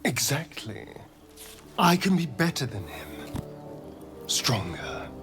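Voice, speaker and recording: Sly vampire, vain, flirtatious, unhurried, widely swooping pitch, video game drama. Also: male voice, big pitch swings, fairly bright tone, faint music behind, heavily acted. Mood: brooding, ambitious. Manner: theatrical, flirtatious, arch, drawn-out delivery. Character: sly, vain male vampire, centuries old, hungry for power.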